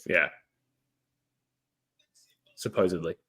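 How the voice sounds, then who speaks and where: A man in his thirties co-hosting a football watchalong in casual chat, relaxed and quick to laugh. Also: low voice, husky. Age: 30s. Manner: relaxed, laughs easily, thinks aloud. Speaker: co-host of a live football watchalong.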